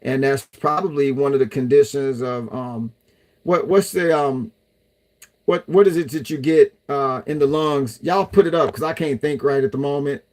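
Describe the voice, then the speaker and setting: A man's voice: medium pitch, moderate pace. Casual male streamer, answering chat in a rambling way. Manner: chatty, loose, talks to viewers as y'all. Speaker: livestream host reading chat.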